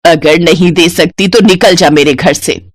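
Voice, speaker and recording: A woman's voice: fairly low pitch, unhurried, no echo, voice pushed down. A woman voicing a greedy, bossy older mother-in-law in a cartoon storybook, with a wheedling manner. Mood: angry.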